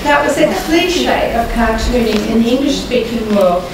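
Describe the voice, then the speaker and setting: An older Australian woman with a croaky voice gives a formal public lecture in a measured, deliberate way, pausing often to hesitate. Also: a little high, lively intonation. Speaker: guest lecturer. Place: large lecture hall. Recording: echoey hall, mic far off, noisy.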